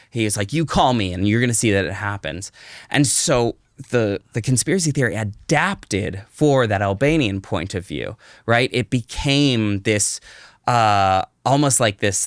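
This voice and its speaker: A man's voice: lowish voice, no echo. A man speaking as a podcast guest in a conversational storytelling and explaining style, thoughtfully searching for his words, close on the microphone.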